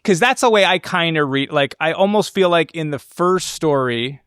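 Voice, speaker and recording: A man co-hosting a podcast, talking through a film in a casual, halting, excitable way. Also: a little high, clean tone, no room sound, clean studio sound. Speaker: podcast co-host.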